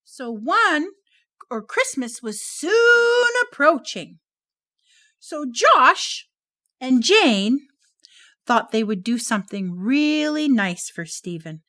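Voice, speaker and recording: A woman narrating a children's puppet story in an animated, sing-song manner. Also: high-pitched, slow.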